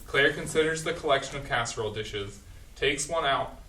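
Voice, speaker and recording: A man's voice: medium pitch, normal speed, sharp tone, slight background noise. A man plainly reading the stage directions in a staged play reading. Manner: plain, matter-of-fact. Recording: echoey room, distant mic.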